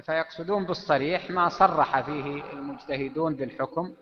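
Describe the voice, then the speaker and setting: A man teaching a classical legal text, explaining at length with pauses and fillers. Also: a little high, a little hiss or hum. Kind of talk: lecture on Islamic legal theory. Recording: echoey hall, dull lo-fi sound.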